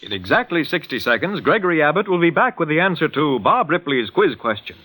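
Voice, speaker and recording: A man's voice: fairly high pitch, very animated, a little fast, sharp tone. A man working as announcer on a 1940s radio show, with scripted announcements and banter.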